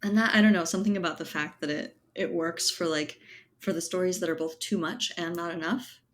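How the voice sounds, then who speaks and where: A woman's voice: on the low side, fairly even intonation, a little fast. A woman speaking as a writer being interviewed, reflective and conversational, often checking in with "right" and "you know". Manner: thoughtful, hedging, often ends phrases with right or you know. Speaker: podcast guest, writer and editor.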